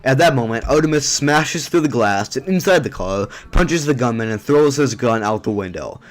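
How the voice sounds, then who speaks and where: A man's voice: medium pitch, animated, moderate pace. A man narrating a scripted audio drama.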